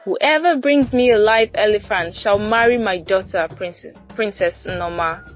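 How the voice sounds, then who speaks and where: A woman's voice: medium pitch, normal speed, faint music behind, natural voice, poor audio. A woman voicing a mighty king in a folktale, making a formal proclamation. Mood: commanding. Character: mighty animal king proclaiming to his subjects.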